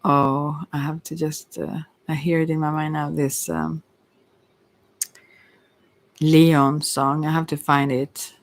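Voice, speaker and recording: Low-voiced woman giving a soft tarot reading. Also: flat delivery, slow pace, pauses often. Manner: soft-spoken, rambling, hesitant, giggly asides. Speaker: tarot reader and ASMR host. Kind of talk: casual ASMR tarot reading.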